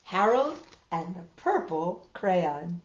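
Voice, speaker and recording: A middle-aged woman hosting a children's storytime, with an expressive, storytelling way of talking, recorded in a living room. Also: fairly low pitch, a little hiss or hum. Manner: animated, expressive, deliberate phrasing when reading. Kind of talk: storytelling for children, talk and picture-book reading. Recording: roomy echo, dull lo-fi sound.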